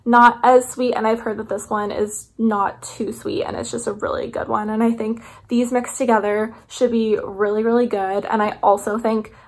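Girl in her 20s doing a casual haul vlog, kinda high voice, chatty and keen. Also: level tone, normal speed, few pauses. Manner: chatty, enthusiastic. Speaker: vlogger. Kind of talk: casual haul chat to camera. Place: small living room indoors.

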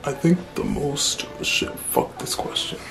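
A man in his twenties with a deep voice, chatting casually through a Q&A vlog in a small room, blunt and relaxed. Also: slow pace, creaky, music in the background. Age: 20s. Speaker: vlogger.

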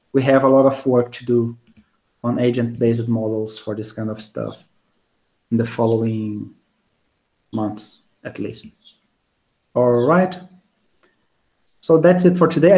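A man in his thirties speaks English with a Brazilian Portuguese accent, giving an easygoing online university lecture. His pitch sits in a middle range, and he talks in a loose, conversational way, full of fillers and restarts. He is recorded in a small home office through a wired earphone mic on a video call. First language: not English. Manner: relaxed, conversational, many fillers and restarts, gestures with hands. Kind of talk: casual online lecture answering student questions.

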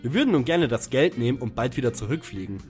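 An actor playing a stiff, polite, aloof young detective in a parody audio play. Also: male voice, mid-range voice, lively intonation, fast, darkish tone, faint music behind, natural voice. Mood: formal.

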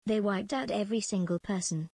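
A woman's voice: medium pitch, a little fast, vocal fry, darkish tone, husky, no room sound, clean studio sound. An actress playing a ruthless female prison commandant in a space empire, dictating clipped official log entries for a video game. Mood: shaken, urgent.

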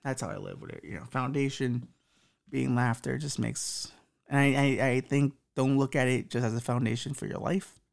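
A man's voice: mid-range voice, normal speed, vocal fry. A man in his thirties with a slight lisp, hosting a podcast and rambling profanely through relationship advice. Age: 30s. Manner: profane, rambling, self-mocking. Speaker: podcast host.

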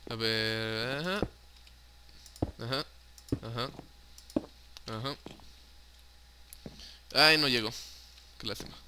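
A man's voice: medium pitch, slow pace, long pauses, noisy background. A young man with a Mexican Spanish accent, chatting casually and joking as he narrates his own Minecraft play, swearing freely and dropping in English words. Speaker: gaming YouTuber.

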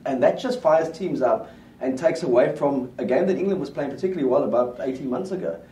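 A middle-aged rugby pundit talking in a small studio, confident and steady as he lays out his predictions. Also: mid-range voice, brisk, distant mic, slight background noise. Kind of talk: casual rugby chat. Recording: roomy studio sound, mic set back.

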